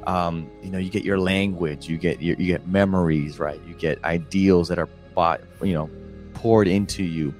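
Deep-voiced reflective man from South Texas. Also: fairly even intonation, moderate pace, a little mellow, soft background music. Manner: thoughtful, adds "right?" after phrases. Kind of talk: reflective casual conversation.

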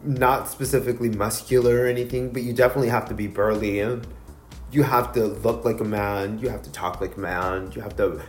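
A man's voice: on the low side, fairly even intonation, a little fast, reverberant, soft background music. A man in his thirties, a podcast co-host, talking candidly in casual chat, laughing often and swearing freely. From Mexican heritage. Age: 30s.

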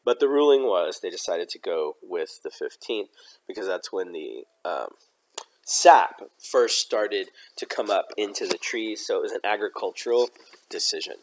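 A man with a slightly hoarse voice hosting an informal Bible study, explaining in a halting, explanatory way. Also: sits low, expressive, rough recording. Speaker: host of a Bible study podcast. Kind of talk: informal religious teaching with scripture readings.

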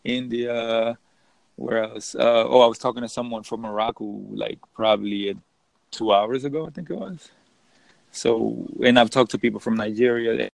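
A young man from the Dominican Republic hosting a casual podcast chat. His voice is gravelly and he rambles, restarting his sentences often. The sound is dry and close. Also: medium pitch, fairly even intonation, moderate pace, no echo, rough recording. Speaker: podcast host.

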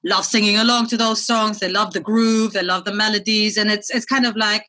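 A woman's voice: medium pitch, fairly even intonation, fairly quick, sharp tone. A woman in her thirties from Germany, chatting openly as a guest over a remote call, with lots of "you know" fillers. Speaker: guest music producer. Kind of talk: casual interview chat. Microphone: remote video call.

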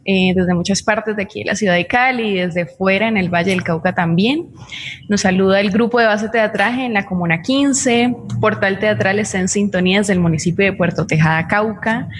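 A woman with a Colombian accent co-hosting a live programme in a friendly way, reading out audience greetings. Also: medium pitch. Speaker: co-host.

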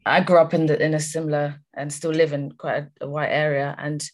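Brief: Kenyan-born female host, low-pitched, chatty and affirming. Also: level tone, brisk. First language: Swahili. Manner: warm affirming interjections, many fillers. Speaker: podcast host. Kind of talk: casual conversational interview.

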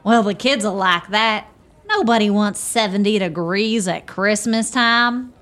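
A woman's voice: mid-range voice, unhurried, fairly bright tone, lightly acted. A woman playing a folksy, good-humored small-town camp dweller in a radio play, with plain country phrasing. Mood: cheerful.

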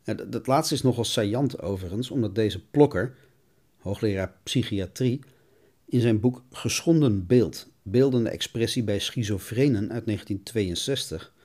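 A man's voice: on the low side, moderate pace, a little mellow. A man narrating an essayistic podcast as its host.

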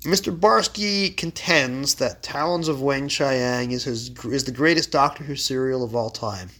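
A man hosting a podcast, slightly hoarse after an illness, chatting casually and reading fortune cards aloud with wry mock drama. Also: mid-range voice, a little slow, sharp tone. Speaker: podcast host. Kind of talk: casual chat. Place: home library.

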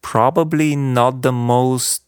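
A man's voice: medium pitch, fairly even intonation, slow pace, clean tone, no room sound, studio quality. A man, a guest chatting casually in an interview, laughing often with many fillers. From Bulgaria. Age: 30s. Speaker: guest conductor.

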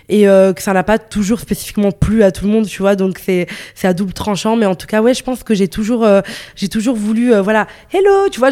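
A woman's voice: mid-range voice, dark tone. A woman in her late twenties from northern Italy, a guest chatting casually and bubbly in an interview, laughing easily and full of fillers. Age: 20s.

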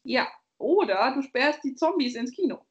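A woman's voice: sits high, animated, normal speed, lo-fi sound. A woman from Bavaria co-hosting a podcast, chatting casually, rambling and laughing often. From Bavaria, Germany. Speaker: podcast co-host. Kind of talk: casual chat.

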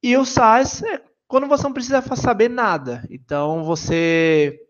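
Bahian guy chatting on a live stream, bit high voice, jokey and self-mocking, muffled audio. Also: fairly even intonation, moderate pace. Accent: Bahian Brazilian Portuguese. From Salvador, Bahia, Brazil. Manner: self-deprecating, jokey, full of filler tags. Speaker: software engineer guest. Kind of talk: casual livestream chat.

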